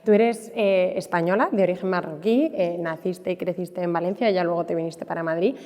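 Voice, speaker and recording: A woman's voice: on the low side, a little fast, a little mellow. A woman with a Spanish accent from Spain, hosting a casual, conversational interview as a podcast host. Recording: echoey room with long reverb.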